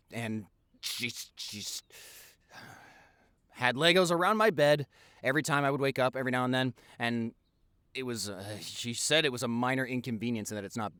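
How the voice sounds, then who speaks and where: A man's voice: medium pitch, fairly bright tone, no room sound, studio quality, natural voice. A man playing a playful young boyfriend in a roleplay audio, rambling through a story in a scatterbrained, stammering way. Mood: rambling, musing. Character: playful, rambling young boyfriend telling childhood prank stories.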